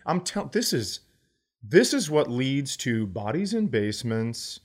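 A man's voice: mid-range voice, very animated, measured pace. A man co-hosting a podcast, telling stories casually with salty language and a comedic bent. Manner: profane, conversational, acts out quotes. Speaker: podcast co-host. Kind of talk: casual chat, comedic storytelling.

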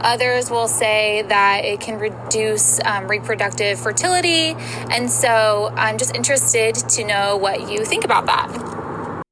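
A woman leaving a recorded voice message to a podcast, asking a question in a slow, earnest way. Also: medium pitch. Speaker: listener with a question. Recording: noisy voice note with vehicle sounds.

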